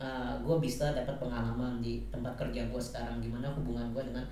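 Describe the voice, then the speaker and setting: A man's voice: mid-range voice, flat delivery, fast, warm tone. A man in his twenties with a Jakarta accent, giving casual, emphatic, slangy motivational advice and often repeating his points. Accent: Jakarta Indonesian. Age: 20s. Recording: echoey room, distant mic, faint noise.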